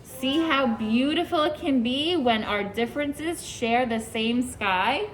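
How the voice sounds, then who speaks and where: A woman teacher reads a picture book aloud to young children, pausing to ask them questions and praise their answers. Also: high-pitched, lively intonation, poor audio. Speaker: preschool teacher.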